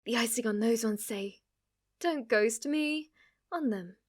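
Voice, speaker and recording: A woman's voice: a little high, measured pace. A woman playing a playful, possessive, lovestruck witch in an ASMR roleplay, speaking to the listener in a teasing, cutesy way. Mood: coy, playful. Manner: teasing, cutesy, swinging between coy murmurs and eager outbursts.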